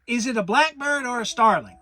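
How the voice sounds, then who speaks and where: A storybook reader voicing a bossy, scheming rabbit who talks briskly and insistently. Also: medium pitch, expressive, pushed and bright, voice pushed up. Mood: urgent, insistent. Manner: emphatic, insistent. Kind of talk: dramatic children's storybook reading.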